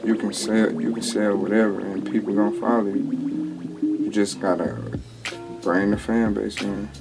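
A man's voice: lowish voice, flat delivery, normal speed, creaky. A twenty-year-old man, a rapper, answering interview questions in a laid-back, drawling way with lots of slang and restarts, in a small home studio. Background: music bed under the talk.

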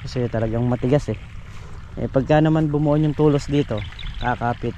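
A man's voice: mid-range voice, steady tone, brisk, warm tone. A man with a Filipino accent chatting informally as he narrates a casual farm vlog outdoors on an action camera. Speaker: farm vlogger. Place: open farm field by water, outdoors. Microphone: handheld action camera. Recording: windy, noisy outdoor action-camera audio.

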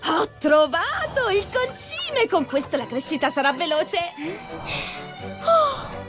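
A woman's voice: extremely high pitch, very animated, slow pace, over music, exaggerated voice. A woman voicing a perky, confident, adventurous young girl in a cartoon dub, eager and exclamatory. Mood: dismayed.

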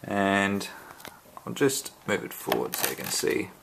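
An Australian man giving a casual unboxing walkthrough at home, halting and full of ums and restarts. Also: low-pitched, very animated, gravelly, fairly bright tone, slight background noise. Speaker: tech reviewer. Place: indoors at home, filming the box up close.

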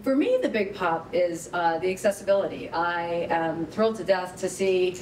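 Lady w lowish voice gushing appreciation to a room, echoey, mic far away. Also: moderate pace. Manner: effusive, appreciative. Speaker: foundation guest speaker and corporate team leader. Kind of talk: informal remarks to a gathering. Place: indoor rehearsal hall, standing before an audience. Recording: roomy, distant mic, clear background noise.

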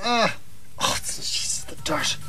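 An Irish man on a prank call playing a flustered, accident-prone man stuck up a chimney, halting and groaning. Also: sharp tone, soft background music. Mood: distressed, exasperated. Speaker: radio host making a prank call.

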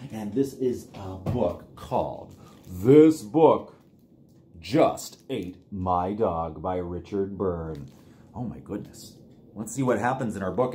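A middle-aged American man reading a picture book aloud to young children in an animated, encouraging way. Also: lowish voice, slight background noise. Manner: animated, exclaiming, simple encouraging talk to little ones. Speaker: children's librarian reading online storytime. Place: small plain room indoors.

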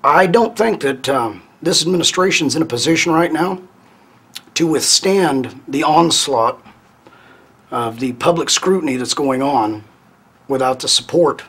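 A man speaking slowly and deliberately in interview soundbites, pausing often. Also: a little high, lively intonation, a bit bright, slightly rough. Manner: slow, deliberate with pauses.

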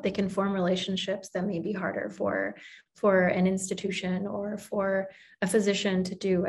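A woman's voice: mid-range voice, darkish tone. A woman answering as a panelist on a video call from an office, earnest and encouraging. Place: office with shelves. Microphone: video call, laptop camera.

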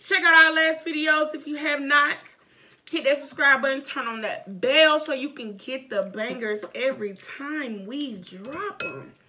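A young woman co-hosting an eating video, loud and excitable, calling out to viewers. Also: sits high, measured pace. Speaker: mukbang co-host. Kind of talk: casual chat and sign-off. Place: indoor home studio.

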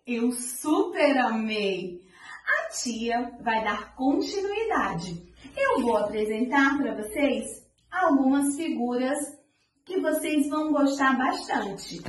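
A Brazilian woman in her thirties, a preschool teacher greeting and teaching small children slowly and warmly. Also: high voice, slight background noise. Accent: Brazilian Portuguese. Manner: slow, lilting and warm toward children. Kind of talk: online preschool lesson. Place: small room with pink walls, seated on the floor. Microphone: far camera. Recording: very echoey room from a distant mic.

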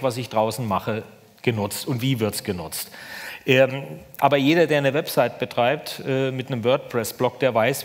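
A man in his fifties answering questions unscripted as a conference speaker, hesitant and repeating words for emphasis. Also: medium pitch. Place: large hall with high ceiling. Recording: echoey large hall.

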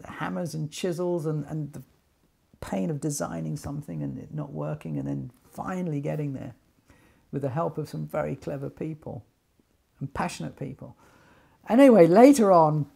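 An older man tells a story informally, acting out bits of dialogue as he goes. His voice is gravelly and soft-edged, with a husky grain and a middling pitch. He sometimes doubles back on his words. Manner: animated retelling with quoted dialogue, repeating words. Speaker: loudspeaker designer telling company story. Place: small attic sitting room, indoors.

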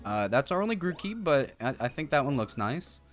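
Guy streaming a game, thinks out loud, voice jumps around a lot, bit of music behind him. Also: mid-range voice, very animated, fairly quick. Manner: jokey, profane, thinks aloud. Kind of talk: casual gaming commentary, reading game text aloud. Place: indoor streaming setup. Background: video game music.

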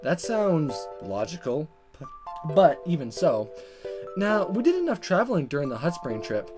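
A man's voice: on the high side, moderate pace. A man reading a visual novel aloud in a playful, dramatic style, with joking asides and stumbles. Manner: animated, chuckling, stumbling over the text. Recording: music playing behind. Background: game music.

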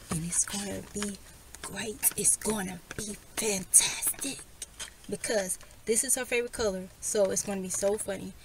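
A soft-spoken young woman, chatting casually to her camera in a parked car. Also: noisy background. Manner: soft-spoken, low and quiet. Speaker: vlogger. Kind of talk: casual vlog chat.

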